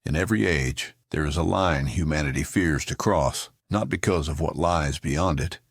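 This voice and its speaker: Very deep, gravelly male actor as solemn narrator. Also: normal speed, no echo, studio quality, heavily acted. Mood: solemn, ominous. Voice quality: gravelly, husky. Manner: solemn, portentous. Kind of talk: dramatic scripted narration.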